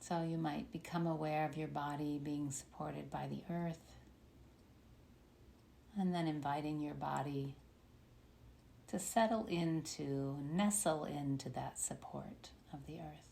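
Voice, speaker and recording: A woman leading a guided meditation in a soothing, unhurried manner with long pauses. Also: sits low, steady tone, vocal fry, mellow. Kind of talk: guided meditation, body scan. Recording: faint background noise.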